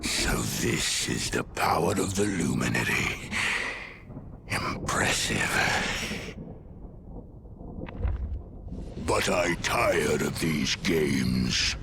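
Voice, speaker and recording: An actor playing a grandiose, contemptuous evil sorcerer overlord in a video game, with a pompous, declamatory delivery. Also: male voice, extremely low pitch, expressive, slow pace, gravelly, noisy background, heavily acted. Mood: weary disdain. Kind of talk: theatrical video game villain acting. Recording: loud orchestral game music behind.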